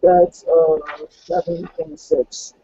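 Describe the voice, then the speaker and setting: Male game player, high-pitched, very soft dark tone, casual short remarks, dry muffled recording. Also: very animated, slow pace, creaky, slightly rough, no echo. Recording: close dull lo-fi recording.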